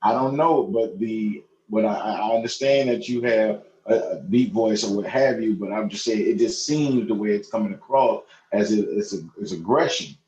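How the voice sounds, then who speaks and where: A man speaking as a board member in a formal meeting, halting and repeating himself in a conciliatory way. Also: on the low side, crowd noise. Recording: muffled, echoey online meeting audio.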